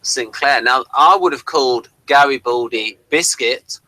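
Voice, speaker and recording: A man's voice: medium pitch, measured pace, pushed and bright, low-quality recording. A man co-hosting a review show, chatting casually and hesitantly about audio drama as he meanders through his points.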